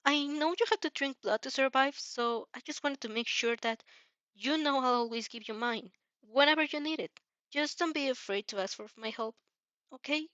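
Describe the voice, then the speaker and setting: A woman's voice: sits high, moderate pace, fairly bright tone, no room sound, lo-fi sound, pitched above the performer's own voice. A woman voicing a shy, caring teenage boy in a fan fiction reading, with a hesitant, earnest, stammering delivery.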